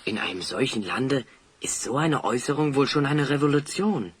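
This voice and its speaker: An actor playing a calm, dryly wry adventurer in an audio drama. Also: male voice, medium pitch, normal speed, a little hiss or hum, lightly acted. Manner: dry, wry. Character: calm, wry male adventurer, steadying his companion. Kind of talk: German audio drama acting.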